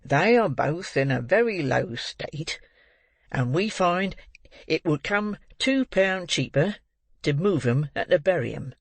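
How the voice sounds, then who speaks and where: A man voicing a pompous, self-important parish beadle who delivers stern, drawn-out pronouncements in a storybook reading. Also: on the high side, animated, creaky, dry recording, voice pushed up, full character voice. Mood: callous. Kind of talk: audiobook character reading.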